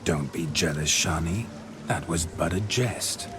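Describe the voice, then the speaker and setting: A man's voice: deep voice, a little slow. A man playing a brash, boastful, lecherous nobleman in a video game, with smug teasing delivery. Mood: smug, flirtatious. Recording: loud background music.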